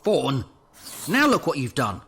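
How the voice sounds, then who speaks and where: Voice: mid-range voice, huge pitch swings, unhurried, gravelly, a bit bright, a little hiss or hum, full character voice. A performer playing a flustered, indignant factory director in a science fiction audio drama, blustering and exasperated. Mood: panicked, indignant.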